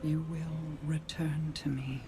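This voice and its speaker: An actress playing a guarded, commanding queen in a dramatic game cutscene, measured and solemn. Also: deep voice, flat delivery, over music. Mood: grave, resigned. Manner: measured, grave, dropping to near whisper.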